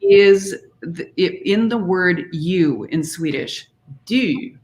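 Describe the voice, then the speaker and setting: A woman's voice: fairly low pitch, expressive, slow pace, darkish tone. A woman speaking as an interview guest, explaining singing diction conversationally and demonstrating by example. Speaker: interview guest, singer and author.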